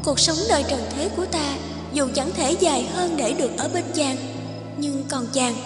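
Actress as ghostly young sweetheart, cartoon drama, very high voice, gentle, pleading, music behind. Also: female voice, moderate pace, reverberant, long reverb tail, natural voice. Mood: tender, sad. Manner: tender, pleading. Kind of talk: animated drama voice acting.

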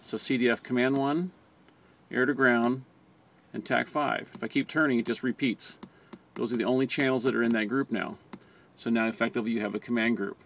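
An American man narrates an instructional video in a plain, step-by-step way. His voice sits at an average pitch for a man. It is an amateur camera recording with some noise behind the voice. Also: fairly even intonation, a little fast, vocal fry, fairly bright tone. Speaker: fire department communications trainer. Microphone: handheld camera or phone close by. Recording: noticeable background noise, amateur camera audio.

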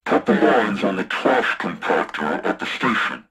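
A sinister spy-ring boss from a video game. The voice is very husky and slow, swinging widely in pitch as it taunts and gives cold, threatening orders. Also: male voice, animated, fairly bright tone, heavily acted. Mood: cold, taunting. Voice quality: husky, gravelly. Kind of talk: scripted video game voice acting.

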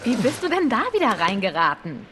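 A woman's voice: mid-range voice, sing-song, a little fast, fairly bright tone, faint music behind. An actress playing a plucky, teasing young female adventurer in a cinematic video game. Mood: teasing, confident. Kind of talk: cinematic video game voice acting, German dub.

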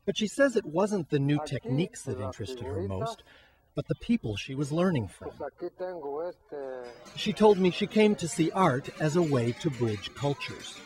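A man narrating a TV news feature as producer and reporter, in a measured scripted delivery. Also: on the high side, sing-song, music in the background.

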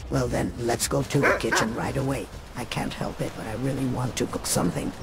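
A man voicing a gentle, polite and earnest troll in a fantasy video game. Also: medium pitch, fairly even intonation, fairly quick, vocal fry, over loud music, close to an everyday voice. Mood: awed, keen. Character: gentle troll who loves cooking, newly well-spoken. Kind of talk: fantasy game character acting.

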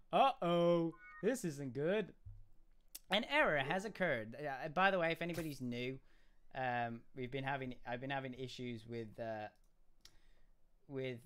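A young man hosting a casual game livestream. He is chatty and rambling, with a slightly croaky voice. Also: on the high side, fairly bright tone. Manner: chatty, rambling, often restarts and hums. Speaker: gaming livestream host. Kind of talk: casual live commentary. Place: home room. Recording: audible background noise.

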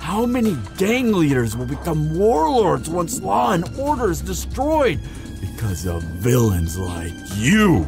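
A man's voice: a little high, very animated, unhurried, vocal fry, over music, full character voice. A man playing a captured, defiant and desperate superhero, protective of a lover, in an ASMR audio role-play with a strained delivery. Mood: indignant.

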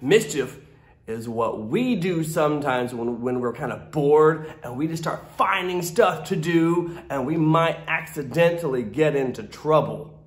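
A man's voice: fairly high pitch, lively intonation, measured pace. A man in his thirties reading a picture book to children in a theatrical, exaggerated way, with playful asides to his young listeners. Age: 30s. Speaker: children's storytime host, teacher. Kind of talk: animated picture-book read-aloud for kids. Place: classroom. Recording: roomy echo of a classroom.

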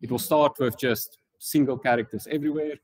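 A man's voice: medium pitch, fairly even intonation, a little fast, dry recording. South African man giving a tech talk. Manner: casual, explanatory. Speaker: conference speaker, tech tutorial presenter. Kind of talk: informal technical talk with slides.

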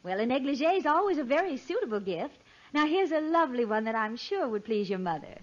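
A courteous, eager female store clerk in a vintage radio comedy. Her voice is very high with sweeping intonation. The old recording sounds dull. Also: big pitch swings, fairly quick. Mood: polite, helpful. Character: polite, eager female lingerie saleswoman, easily starstruck. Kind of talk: 1950s radio comedy acting.

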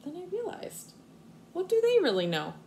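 A young woman, a kindergarten teacher, reading aloud expressively and explaining things simply and deliberately to small children. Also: very high-pitched, huge pitch swings, creaky, mellow. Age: young adult. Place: living room at home, indoors. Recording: faint room noise, home video.

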